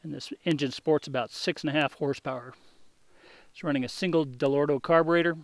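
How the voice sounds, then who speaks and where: A man presenting a restored vintage motorcycle for sale, talking in an unhurried way with a touch of humor while the camera circles the bike outdoors. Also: on the high side, fairly even intonation, dry recording. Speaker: classic motorcycle dealer. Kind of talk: off-camera sales walkthrough.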